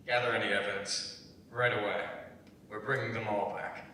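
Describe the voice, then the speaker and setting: A man's voice: fairly low pitch, little pitch movement, normal speed, bright, forceful tone. A man playing a grim, profane KGB commander in his forties with a curt, commanding manner in a staged script reading. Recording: roomy, distant mic with faint noise.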